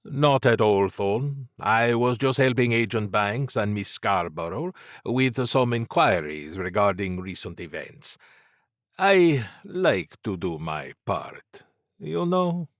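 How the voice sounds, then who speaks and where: A sly, well-off fixer played by a male narrator in an audiobook, musing expansively and wryly as if over a cigar. The voice swings up and down around a low base, recorded close and dry. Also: animated, slow, no echo. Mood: breezy, sly.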